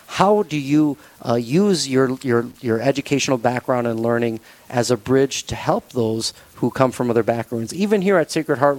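A man's voice: medium pitch, a little mellow, no echo, low-quality recording. Thoughtful man hosting a faith radio show. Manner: measured, thoughtful, frequent fillers. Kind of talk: conversational radio interview.

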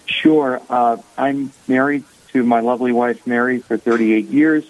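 A man's voice: medium pitch, fairly even intonation, unhurried, narrow, tinny audio. A man in his sixties from the Boston area with a Boston accent, a guest speaking earnestly in a radio interview.

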